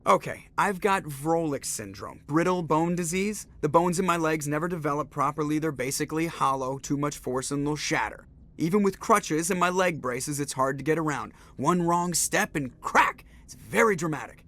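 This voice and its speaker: A man's voice: on the high side, moderate pace, a bit bright, natural voice. A man playing a cocky, sarcastic young starship pilot in a video game, with a brash, defensive manner. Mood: dry, sardonic. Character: cocky, wisecracking male starship pilot with brittle bones.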